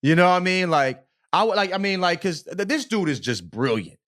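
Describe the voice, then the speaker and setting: A man's voice: high-pitched, very animated, brisk, fairly bright tone. A man co-hosting a podcast, chatting casually in an emphatic, slangy way, repeating himself and often checking that listeners follow. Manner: repetitive, emphatic, slangy, peppered with check-in tags. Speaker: podcast co-host. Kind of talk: casual opinionated podcast chat.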